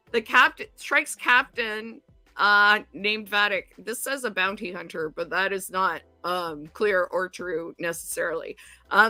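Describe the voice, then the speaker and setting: A woman's voice: medium pitch, pushed and bright, no echo. A woman co-hosting a casual chat, reading an episode summary aloud with chatty asides. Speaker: podcast co-host.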